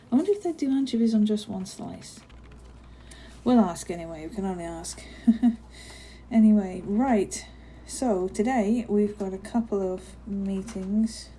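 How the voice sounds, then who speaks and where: A woman's voice: mid-range voice, measured pace, a little hiss or hum, close to an everyday voice. A woman playing a friendly, chatty giantess office worker in an ASMR roleplay, caring and a little hesitant as she talks to a tiny colleague. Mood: chatty, relaxed. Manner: bubbly, caring, apologetic, hesitant with soft laughs. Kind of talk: ASMR roleplay, one-sided conversation.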